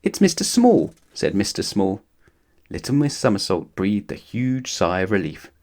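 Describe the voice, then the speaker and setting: A man's voice: low-pitched, normal speed. A man narrating a children's storybook expressively, as if talking straight to young listeners. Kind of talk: animated children's story read-aloud.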